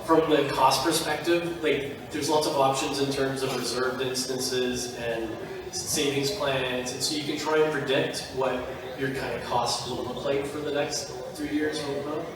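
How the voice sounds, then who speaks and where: A man's voice: medium pitch, steady tone, unhurried. A man on a conference panel, explaining in a casual, joking manner. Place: large conference hall. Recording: echoey hall, mic far off.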